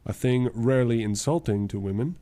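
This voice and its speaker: A man playing a wry, self-sacrificing lawyer with a measured, formal manner in a radio drama. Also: medium pitch, dark tone, no room sound, close to an everyday voice. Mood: dry, prosecutorial. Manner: measured, mock-courtroom formality. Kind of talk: old-time radio drama acting.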